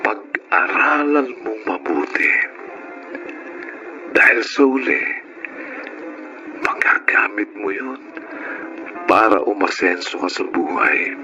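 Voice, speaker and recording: A man's voice: fairly low pitch, animated. A man playing a self-made entrepreneur and writer who narrates a life story in a radio drama, earnest and encouraging. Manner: measured, earnest, advising. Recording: dull, band-limited radio sound. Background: music under the voice.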